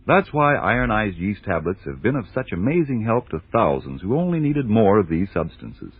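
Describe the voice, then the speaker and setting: Low-voiced, emphatic 1940s radio announcer man. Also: very animated, slightly rough. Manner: deliberate, emphatic salesmanship. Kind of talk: 1940s scripted radio announcing and sponsor pitch. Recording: tinny, band-limited old radio recording.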